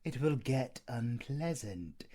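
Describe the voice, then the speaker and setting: A man's voice: mid-range voice, animated, takes it slowly, warm tone, pitched below the performer's own voice. A man voicing a smug, elegant, menacing werewolf alpha villain in an audio roleplay, with a British accent and languid, condescending endearments. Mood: mock-pitying, menacing.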